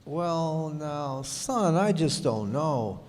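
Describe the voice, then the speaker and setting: Kindly, hesitant country husband played by a man, radio drama, higher male voice, leisurely pace. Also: expressive, very slow, a little mellow. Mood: gentle, hesitant. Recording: echoey hall.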